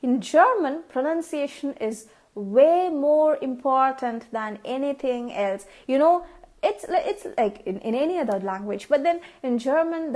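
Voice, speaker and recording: A woman's voice: high voice, a little mellow. A young woman from India with an Indian English accent, whose first language is Tamil, giving casual tips in a vlog and stopping often to act out how words sound. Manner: chatty, repeats words, acts out pronunciations. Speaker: vlogger.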